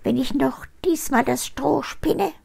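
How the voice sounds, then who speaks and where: An older woman reading a fairy tale aloud, voicing a small, sly male goblin who bargains for payment in a high, thin put-on voice. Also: measured pace, gravelly, darkish tone, heavily acted. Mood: sly bargaining.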